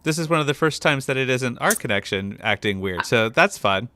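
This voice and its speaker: A man's voice: mid-range voice, animated, a little fast, sharp tone. A man from a theatre team chatting casually on a livestream. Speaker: member of livestreamed theatre production.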